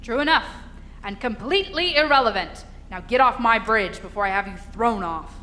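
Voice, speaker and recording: A woman playing a gruff, no-nonsense spaceship captain in a live radio drama, curt and imperious. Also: a little high, animated, normal speed. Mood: angry. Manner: curt, forceful.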